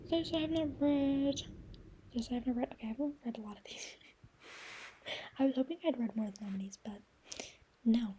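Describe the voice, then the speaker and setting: A woman's voice: on the high side, a little fast, long pauses, slight background noise, low-quality recording. A young woman chatting casually about books, often half-whispering, with a voice worn down by a coming cold. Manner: soft, often whispery, gushing. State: losing her voice, getting sick. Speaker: book vlogger. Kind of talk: casual chat, reviewing books.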